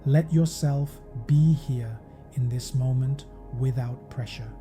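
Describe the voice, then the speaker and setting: Gentle, very mellow male meditation guide. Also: mid-range voice. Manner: gentle, measured, soothing delivery. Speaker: podcast host and meditation guide. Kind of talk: slow guided meditation narration. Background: meditation music.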